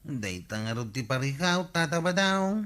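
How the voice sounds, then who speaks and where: A man's voice: medium pitch, big pitch swings, moderate pace, fairly bright tone. An actor playing a hapless, lovelorn, apologetic village man with an Ilocano accent and a whiny, pleading drawl, in a radio comedy drama. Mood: sheepish.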